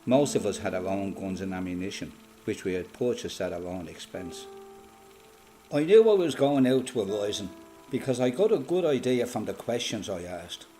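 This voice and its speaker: Plain-spoken Irish rebel recounting, music behind. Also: male voice, on the low side, big pitch swings, mellow. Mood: matter-of-fact recollection. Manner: matter-of-fact, measured storytelling. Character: male Irish freedom fighter recounting the rising, plain-spoken, resolute. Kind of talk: scripted first-person testimony in a radio play.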